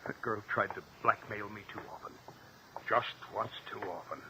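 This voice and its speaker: An actor playing an anxious crooked American politician in a mid-century radio drama, with a tense, clipped delivery. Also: male voice, on the low side, steady tone, moderate pace, gravelly, pushed and bright, exaggerated voice. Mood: contrite. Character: anxious crooked male politician in debt to gamblers. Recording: noisy old radio drama recording.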